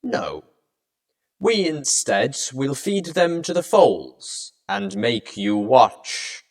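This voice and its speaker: A man's voice: mid-range voice, sing-song, drawn-out words, pitched above the performer's own voice. A man voicing a jaded, taunting winged unicorn mare villain with a grand, mocking drawl. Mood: cruel, haughty.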